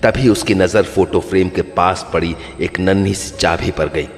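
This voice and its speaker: A man's voice: deep voice, normal speed, slight background noise. A man narrating a scripted horror story as the storyteller. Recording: long reverb over background music.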